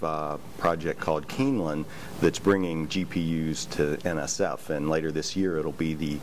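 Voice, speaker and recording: A man introducing himself on a conference panel as a researcher and professor, explaining in a steady way with some fillers. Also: sits low, a little hiss or hum. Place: conference hall with audience.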